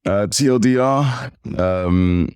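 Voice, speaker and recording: A man in his forties with an English accent, telling stories as an animated guest in a casual interview. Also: fairly low pitch, slow pace, clear, resonant tone, no room sound. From United Kingdom. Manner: animated storyteller. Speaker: podcast guest.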